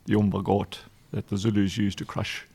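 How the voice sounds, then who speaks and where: A man's voice: on the low side, monotone, moderate pace, breathy edge. A man with a South African accent, a charity director telling stories in an interview, with many ums and restarts. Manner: warm anecdotal, frequent ums and restarts. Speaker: guest, charity executive director. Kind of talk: relaxed storytelling interview.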